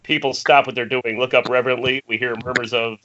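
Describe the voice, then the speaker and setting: A man's voice: medium pitch, little pitch movement, fast talker, fairly bright tone. A man narrating, reading out screenplay stage directions in a table read. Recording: muffled internet call, faint noise.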